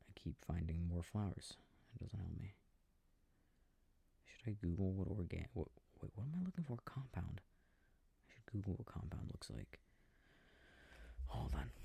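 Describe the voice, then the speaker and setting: A man speaking softly and breathily, often near a whisper, quietly musing to himself in an ASMR sleep-aid style while playing a video game. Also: deep voice, creaky, breathy edge. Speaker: audio roleplay performer. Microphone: close microphone. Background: game controller clicking.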